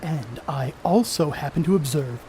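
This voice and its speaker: A man's voice: a little high, moderate pace, dark tone, slight background noise, close to an everyday voice. A man playing a boastful, crude star ballplayer in a 1930s stage play, cocky and needling in folksy slang. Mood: sly, needling. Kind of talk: amateur stage play acting, 1930s period dialogue.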